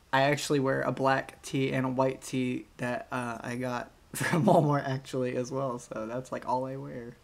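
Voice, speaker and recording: A young man talks casually into a headset at home as he reacts to a video. His manner is chatty and relaxed, and he often laughs along. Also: medium pitch, measured pace, vocal fry.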